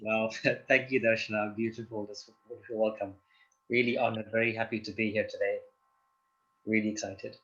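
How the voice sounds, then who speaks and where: Man from Zimbabwe as interview guest, calm teacherly explaining, muffled lo-fi sound. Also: lowish voice, brisk. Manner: calm, explanatory, often checks in with "yeah?". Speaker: guest, doctor and yoga teacher. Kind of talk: interview answers.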